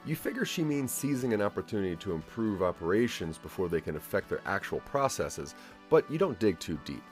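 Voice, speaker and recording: A man narrating a scripted audio drama in the second person, with a measured storytelling delivery. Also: fairly low pitch, big pitch swings, faint music behind.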